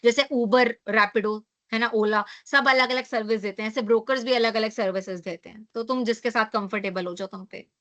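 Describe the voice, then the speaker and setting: A young woman teaching an online class, hoarse from losing her voice, who explains with rhetorical questions and a frequent tag question. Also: fairly high pitch, steady tone, a little fast, hardly any pauses, a bit bright, dull audio.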